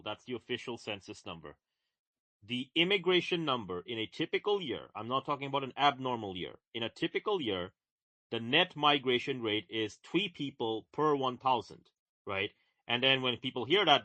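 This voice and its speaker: Emphatic young man, bright dry voice. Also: mid-range voice, no room sound. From Bangladesh. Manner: emphatic, repeats words, many "right?" and "like". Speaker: podcast co-host. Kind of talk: casual political chat.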